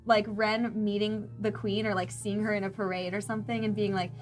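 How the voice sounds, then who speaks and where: A woman playing a tabletop roleplay game, improvising the story in casual, slangy, excitable talk. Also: mid-range voice, a little fast, soft background music.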